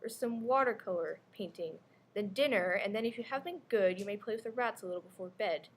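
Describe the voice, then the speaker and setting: A woman's voice: mid-range voice, a little fast, close to an everyday voice. A woman playing a sinister, possessive mother in readers theater, archly formal and sugary as she coaxes and scolds. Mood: sweetly coaxing. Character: sinister other mother, sweetly possessive and controlling. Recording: faint background noise, live ambience.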